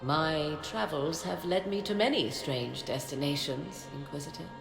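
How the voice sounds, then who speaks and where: A woman playing a poised, proud sorceress in a video game, speaking formally and enigmatically in a measured, haughty manner. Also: sits low, gravelly. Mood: measured, knowing. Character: poised, cryptic sorceress, proud keeper of ancient secrets. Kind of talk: dramatic video game dialogue. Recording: reverberant, with game music behind.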